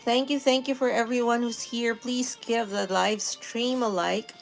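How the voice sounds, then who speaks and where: A woman's voice: a little high, steady tone, unhurried, gravelly, faint music behind. A middle-aged woman with a Filipino accent, hosting a casual live stream and chatting with viewers, laughing often. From Philippines. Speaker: livestream host. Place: home in New York.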